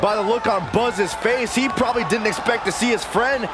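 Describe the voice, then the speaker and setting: A man voicing an excitable, earnest commentator in a wrestling video game. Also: very high voice, normal speed. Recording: very noisy background.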